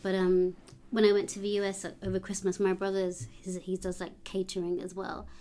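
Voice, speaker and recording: Young woman from Uganda, casual chatter full of fillers, medium pitch. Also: completely flat delivery, fairly quick, warm tone, a little hiss or hum. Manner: chatty, lots of likes and ums. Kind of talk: casual chat.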